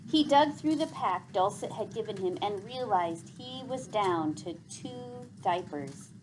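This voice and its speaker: A woman teacher reading a story aloud to pupils in an expressive, clearly enunciated way. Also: medium pitch, a little slow, background noise.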